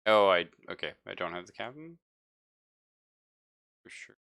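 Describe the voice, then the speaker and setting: Bright-voiced, jokey male game master. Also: deep voice, expressive, unhurried, vocal fry. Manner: jokey, laughs often. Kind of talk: casual tabletop roleplaying game session.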